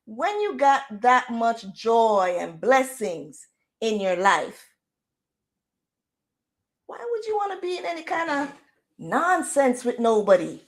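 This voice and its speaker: A Jamaican woman hosting a casual livestream chat in a loud, projecting voice, firing off rhetorical questions with easygoing slang. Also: on the high side, sing-song, takes it slowly, pauses often. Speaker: livestream host.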